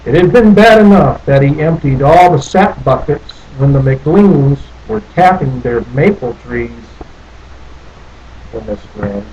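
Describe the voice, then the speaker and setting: Slow-paced man reading a children's storybook. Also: medium pitch, expressive, a little mellow, lo-fi sound, a little hiss or hum. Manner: drawn-out, folksy, playful delivery with little asides. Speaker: storybook reader. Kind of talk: animated read-aloud of a children's picture book.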